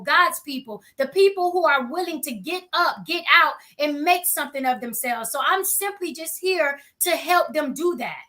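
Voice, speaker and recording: Young woman being interviewed, talks earnest with lots of you knows, high voice. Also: pushed and bright. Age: young adult. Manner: earnest, many fillers like you know. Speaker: company founder and guest. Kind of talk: interview answers.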